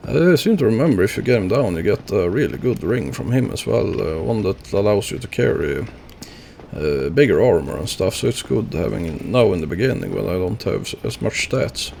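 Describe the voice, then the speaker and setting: A man's voice: lowish voice, sing-song, fairly quick, creaky, darkish tone, a little hiss or hum. A man streaming a video game, chatting casually and rambling with lots of fillers as he plays. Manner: rambling, lots of fillers, chuckles at his own deaths. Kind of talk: casual gameplay commentary.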